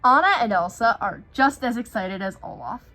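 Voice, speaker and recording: Bright, lively woman reading a storybook. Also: a little high, moderate pace. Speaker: host reading a picture book aloud. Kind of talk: expressive children's story read-aloud.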